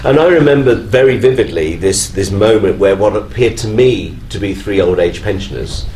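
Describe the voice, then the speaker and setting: Low-voiced older man. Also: normal speed, darkish tone, roomy sound, low-quality recording. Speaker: panel guest. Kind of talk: casual anecdotal panel talk. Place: convention stage. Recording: live stage recording, faint noise.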